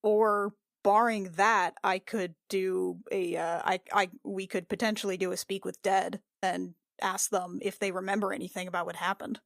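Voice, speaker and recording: A female tabletop player improvises in casual, blunt speech. Her voice sits at a middle pitch and is recorded dry and close. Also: level tone, moderate pace, vocal fry, hardly any pauses, no echo. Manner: blunt, informal. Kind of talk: casual in-character improvised chat.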